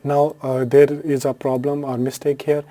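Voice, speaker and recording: Male tutorial host, Urdu first language, deep mellow timbre, unscripted and filler-heavy. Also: little pitch movement, normal speed. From Pakistan. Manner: unscripted, frequent fillers and self-corrections. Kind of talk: screen-share tutorial walkthrough.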